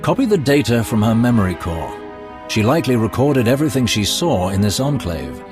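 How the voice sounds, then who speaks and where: A man's voice: medium pitch, sing-song, fairly quick, over music, close to an everyday voice. An actor playing a thoughtful, loyal male Imperial lord in a game cutscene. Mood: measured.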